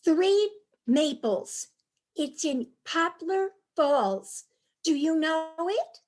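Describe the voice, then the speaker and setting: A woman's voice: very high-pitched, very slow, heavily acted. A woman playing a cheerful New York wife charmed by the countryside, chatty and dreamy, in a staged reading of a comic play. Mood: excited. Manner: chatty, dreamy, easily excited.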